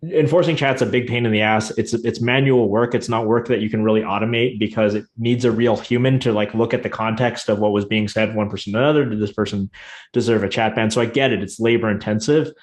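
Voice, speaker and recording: A man's voice: lowish voice, steady tone, fast, echoey room. A man co-hosting a podcast, talking casually and bluntly with strong opinions. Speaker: podcast co-host.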